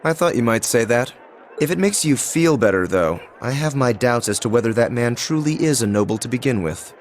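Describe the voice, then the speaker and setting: An actor playing a reserved, cynical young nobleman in a video game, speaking formally and with dry, measured phrasing. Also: medium pitch, animated, slight background noise, close to an everyday voice. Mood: dryly skeptical. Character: reserved, cynical young illegitimate son of a duke. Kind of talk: English-language game voice acting.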